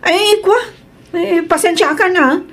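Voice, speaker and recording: A woman's voice: very high-pitched, slow pace, fairly bright tone, a little hiss or hum, full character voice. A woman with an Ilocano accent playing a frank, exclamatory young woman with a suitor, in a comedy radio drama. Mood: firm.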